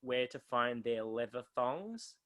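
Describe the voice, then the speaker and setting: A man's voice: mid-range voice, lively intonation, takes it slowly, a bit bright. An Australian man co-hosting a podcast, chatting casually and self-mockingly, drifting off on tangents. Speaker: podcast co-host. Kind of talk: casual chat.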